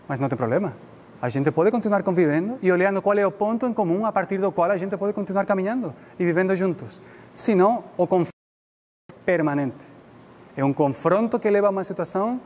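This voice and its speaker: High-pitched Spanish-accented man lecturing in reverberant hall. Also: fast talker, a little mellow. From Spain. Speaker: guest lecturer. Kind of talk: public lecture, informal and conversational. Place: indoor lecture room. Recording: reverberant hall with background noise.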